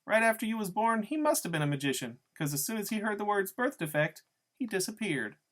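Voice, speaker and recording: A man's voice: high-pitched, big pitch swings, a little fast, a bit bright, voice pushed up. A man voicing a giggly, mocking, slangy tough woman in a story reading. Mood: taunting. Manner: teasing, taunting, slangy. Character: giggly, mocking, needling tough woman who drinks beer.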